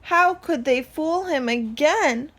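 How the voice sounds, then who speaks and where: A woman reading a children's story aloud, expressively, with deliberate dramatic pauses. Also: sits high, expressive, a bit bright. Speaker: storybook reader.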